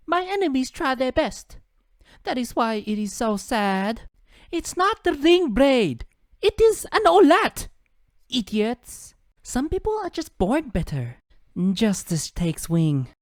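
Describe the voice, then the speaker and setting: A man's voice: extremely high pitch, very animated, slow pace, no echo, clean studio sound, pitched above the performer's own voice, full character voice. A man voicing a proud, self-possessed adult game heroine with poised delivery. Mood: haughty.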